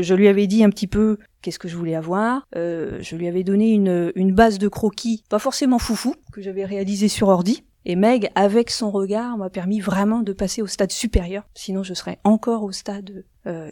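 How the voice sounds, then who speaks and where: A woman's voice: medium pitch, warm tone, dry recording, studio quality. A middle-aged woman from central Brittany, a guest describing her card game in casual chat, with frequent fillers and restarts. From central Brittany, France. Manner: hesitant, many fillers and restarts.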